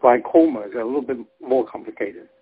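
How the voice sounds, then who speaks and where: Husky, lively man over a phone line. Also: mid-range voice, fairly quick. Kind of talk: explaining to a group. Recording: phone-line webinar sound.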